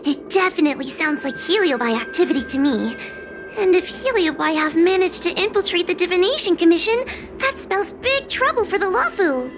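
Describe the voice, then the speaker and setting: A woman's voice: very high voice, expressive, a little fast. A woman playing a timid but dutiful young fox girl in a video game, hesitant and polite. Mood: nervous, concerned. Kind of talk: video game cutscene voice acting. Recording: game music under the voice.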